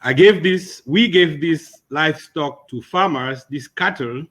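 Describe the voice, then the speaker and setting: A man, a veterinarian, introducing himself on a panel with steady storytelling and some hesitations. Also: on the high side, measured pace. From Cameroon.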